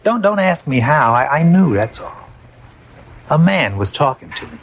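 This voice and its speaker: An actor in an old radio drama playing a brash, restless young soldier back from the dead, speaking in slangy, insistent style. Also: male voice, a little high, very animated, moderate pace. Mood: haunted, intense. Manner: slangy, insistent, emphatic storytelling. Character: dead soldier Paul, brash restless young man, fast-talking charmer. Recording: dull, noisy old radio recording.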